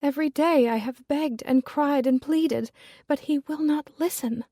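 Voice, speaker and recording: Woman reading a genteel young lady, soft and pleading, lifted higher, storybook. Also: unhurried, dry recording, studio quality. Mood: distressed.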